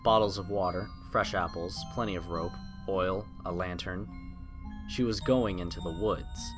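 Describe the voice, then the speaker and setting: Low-pitched man narrating over music. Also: steady tone, a little slow. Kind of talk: dramatic scripted horror story narration. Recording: prominent music under the narration.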